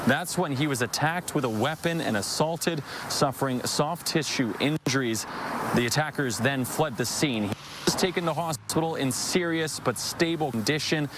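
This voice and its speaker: Male field reporter outdoors, steady live delivery, traffic and street noise behind. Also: mid-range voice.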